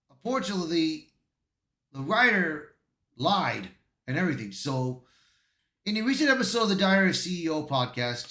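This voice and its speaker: Man, slightly high voice, roomy echo, casual commentary, stumbles while reading. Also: bright, forceful tone. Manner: stumbling over words when reading. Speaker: YouTube commentator. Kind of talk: casual commentary, reading an article aloud with asides. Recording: roomy, echoey voice.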